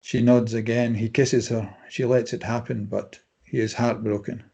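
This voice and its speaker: A man's voice: mid-range voice, level tone, moderate pace, gravelly, warm tone, slightly rough, low-quality recording. A man reading stage directions as scripted narration for a drama table read.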